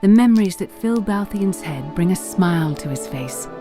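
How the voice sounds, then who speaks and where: A woman's voice: on the low side, expressive, moderate pace, mellow, soft background music. A woman narrator reading scripted story narration for a fantasy audio drama.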